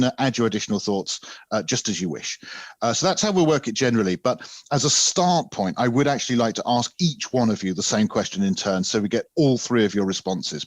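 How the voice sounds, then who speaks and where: Male interview host, polite and measured, fairly low voice, dry recording. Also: no room sound. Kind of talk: structured interview hosting.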